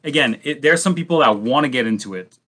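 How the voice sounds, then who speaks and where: A man in a casual discussion, speaking haltingly and thinking aloud as a panelist. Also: mid-range voice, expressive, pushed and bright.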